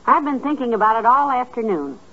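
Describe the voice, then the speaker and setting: An actress playing a kindly, patient, affectionate wife in a 1950s radio comedy, homey and soothing. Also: female voice, on the high side, expressive, a little fast, close to an everyday voice. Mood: tender, content. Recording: muffled 1950s radio recording.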